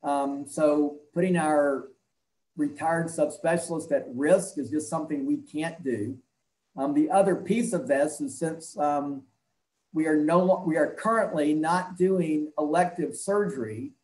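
A man in his sixties giving measured, halting answers as an interview guest over a video call from an indoor room. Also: fairly high pitch, a little mellow, echoey room, rough recording. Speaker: interview guest, health clinic leader and doctor.

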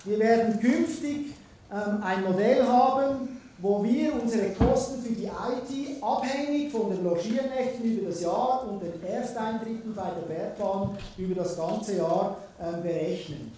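A man's voice: high-pitched, unhurried, warm tone, far from the mic, a little hiss or hum. A man with a Swiss German accent giving a conference keynote in a conversational way, with frequent filler sounds. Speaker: keynote speaker. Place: large conference hall. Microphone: distant room recording. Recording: echoey hall, from afar.

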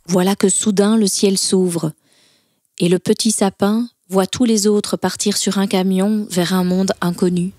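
A woman's voice: mid-range voice, fairly even intonation, a little slow, dry recording, clean studio sound. A woman narrating a scripted children's story as a storyteller. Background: soft background music.

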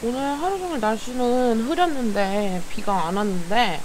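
A woman's voice: a little high, normal speed, background noise. A woman, chatting casually and laughing easily while she vlogs a solo camping trip at a campsite. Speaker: camping vlogger. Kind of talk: casual solo vlog chat. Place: campsite in a valley outdoors.

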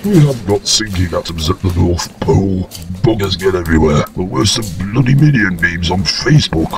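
A man's voice: low voice, normal speed, gravelly, music in the background. A man playing a boozy, foul-mouthed old Santa, ranting irritably in an audio drama. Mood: irritable.

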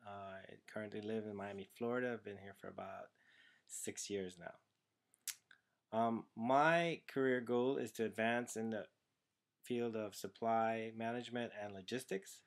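A man from Trinidad and Tobago, a young adult to his thirties, giving a prepared class video essay, earnest and steady, with some "uh" fillers, recorded on a webcam in a small room at home. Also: lowish voice, measured pace, vocal fry. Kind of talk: prepared personal presentation. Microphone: built-in webcam microphone, close framing.

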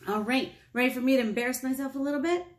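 An American woman in her thirties leading a picture-book storytime for small children, playful and expressive, asking them questions. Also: high voice, very fast. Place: small indoor room with whiteboard.

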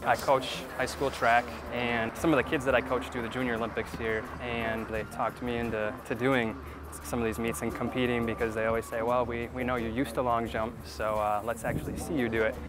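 A man in his twenties who coaches high school track, talking in an easygoing way in an interview. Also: flat delivery, a little fast. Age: 20s. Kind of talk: documentary interview. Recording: outdoor interview with background music.

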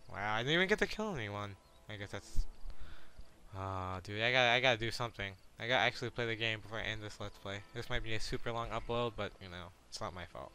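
A man's voice: fairly low pitch, quick pace, sharp tone. A young man commenting casually and sarcastically while playing a video game. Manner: joking, sarcastic. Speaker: let's play gamer. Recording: faint background noise.

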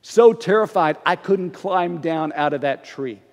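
A middle-aged man, a pastor, preaching a conversational, expressive sermon while standing before the altar. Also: fairly high pitch, a little slow. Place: church sanctuary before the altar. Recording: long reverb of a church sanctuary.

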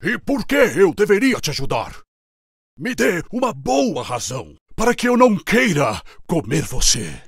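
A man voicing a proud, gruff lion king in a children's cartoon fable, with an imperious, theatrical delivery. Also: high voice, wildly swooping pitch, a little slow, dry recording, studio quality, heavily acted. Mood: scornful, menacing.

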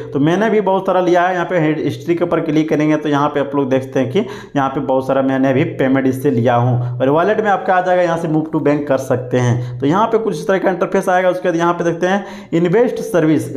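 A man's voice: a little high, darkish tone, echoey room. A man narrating a phone screen-recording tutorial, listing app features one by one.

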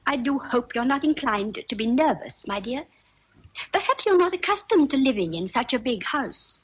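An actress playing a fussy, kindly older housekeeper in a radio drama, chatty and hesitant. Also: female voice, high voice, expressive, normal speed, breathy edge, highs cut off. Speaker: actor.

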